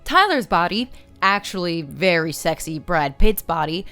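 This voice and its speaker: A woman's voice: fairly low pitch, expressive, unhurried, pushed and bright, faint music behind. A young woman hosting a scripted film-analysis video essay, narrating with wry, sarcastic asides.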